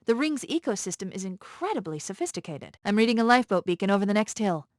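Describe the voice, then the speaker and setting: Woman playing a smart female ai sidekick from a military game, crisp n analytical, calm but urgent, real dry close recording. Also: medium pitch, quick pace, no room sound, natural voice. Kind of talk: video game voice acting.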